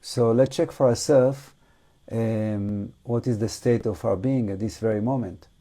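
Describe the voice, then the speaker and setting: A man who is not a native English speaker guides an unscripted meditation talk, reflective and halting, with long pauses. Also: medium pitch, warm tone. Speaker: meditation teacher.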